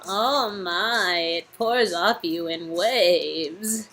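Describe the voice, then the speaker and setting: A woman's voice: medium pitch, extremely slow, a bit bright, pitched below the performer's own voice, full character voice. A woman voicing a theatrical, florid-talking stranger with a wobbly voice. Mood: theatrical disdain.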